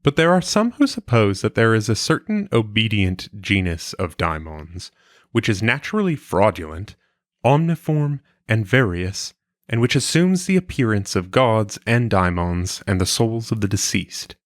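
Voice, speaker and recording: A man reads a scripted philosophical text in a fairly low voice. His delivery is formal and measured, with clearly shaped phrases. The sound is very dry, with hardly any room around it. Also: sing-song, no room sound. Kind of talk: scripted audiobook-style reading of an old philosophical text.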